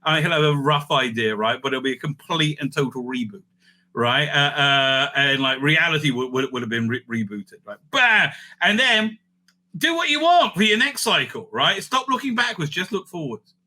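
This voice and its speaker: A man hosting a casual, off-the-cuff ranking commentary, animated and thinking aloud with frequent asides. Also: on the high side, normal speed. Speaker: host ranking TV serials.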